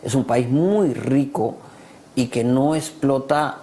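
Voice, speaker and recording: A man's voice: medium pitch, slow, dark tone. A Peruvian man with a Peruvian Spanish accent speaking as a guest on a talk show, emphatic and proud, repeating phrases to drive his points home. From Peru. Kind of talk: passionate talk show discussion.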